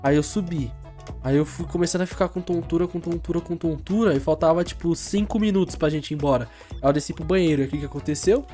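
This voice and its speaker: A young man hosting a casual chat, telling a rambling story in fast slang while fighting off a cold. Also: a little high, mellow, soft background music. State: has a cold. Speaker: channel host.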